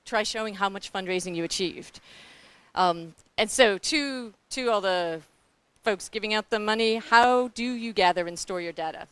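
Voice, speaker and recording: A middle-aged woman with a New Zealand accent gives a panel talk about grantmaking. She is blunt and candid, animated, and often speaks straight to the audience. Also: medium pitch, moderate pace, clear, resonant tone, no room sound.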